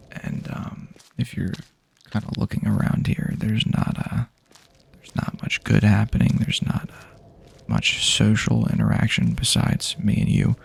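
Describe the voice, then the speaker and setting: A man speaks in a low, breathy near-whisper that crackles heavily with vocal fry. His pitch wanders freely as he improvises an ASMR roleplay, rambling softly and hesitantly with many pauses. A faint ambient noise sits behind the voice. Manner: soft-spoken, hesitant, rambling with frequent pauses. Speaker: ASMR performer playing a companion on a post-apocalyptic journey.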